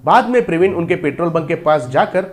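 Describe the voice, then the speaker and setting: A man's voice: on the high side, animated, brisk, faint music behind. A man narrating a scripted story as a storyteller.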